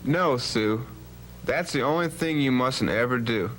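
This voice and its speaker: A man's voice: mid-range voice, a little slow, a bit bright, lightly acted, poor audio. A man playing a bold, plain-spoken legendary cowboy rancher in an educational radio drama, with a folksy, authoritative manner. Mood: earnest, warning. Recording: hum and faint background noise.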